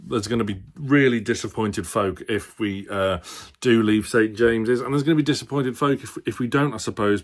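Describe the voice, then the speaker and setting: A man with a fairly low voice gives casual, unscripted football commentary. He talks to viewers as a fan channel presenter, hesitating and hedging as he thinks aloud.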